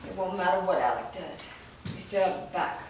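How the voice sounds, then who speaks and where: A woman's voice: lowish voice, expressive, fairly quick, natural voice. A woman reading a cold, imperious female master. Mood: commanding. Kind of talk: staged script reading. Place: large indoor studio room. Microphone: far camera. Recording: echoey room from far off, background noise.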